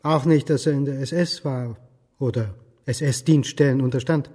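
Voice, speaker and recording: An actor playing a historian in his forties in a radio drama, terse, dry and quietly probing. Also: mid-range voice, moderate pace, close to an everyday voice. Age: 40s. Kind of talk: German radio drama acting. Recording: reverberant room.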